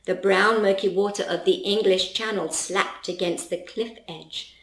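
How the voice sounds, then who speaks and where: A woman reading a children's story aloud as an expressive storyteller. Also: mid-range voice, a little slow. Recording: roomy, echoing voice recording.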